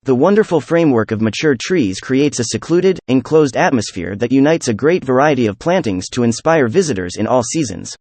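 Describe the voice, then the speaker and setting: A man reading practice passages aloud from a script in a measured, careful, neutral way. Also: mid-range voice, lively intonation, a little mellow, dry recording. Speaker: narrator of English test practice texts.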